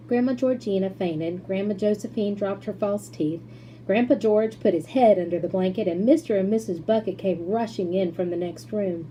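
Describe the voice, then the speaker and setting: A woman reading a children's story aloud as narrator, in an expressive storytelling style. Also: medium pitch, poor audio. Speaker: school principal reading aloud. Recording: faint background noise and hum.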